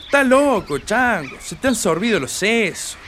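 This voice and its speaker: A man's voice: high voice, big pitch swings, moderate pace, a bit bright, a little hiss or hum. A man with a northern Argentine accent playing a blunt, skeptical country man in a radio play. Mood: skeptical, scolding.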